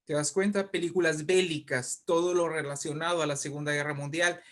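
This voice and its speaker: A man with a fairly high voice tells history as an interview guest. He speaks conversationally and with animation, turning often to his listener with little questions. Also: brisk. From Mexico. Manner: animated storytelling with frequent check-in questions. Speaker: interview guest, writer and poet.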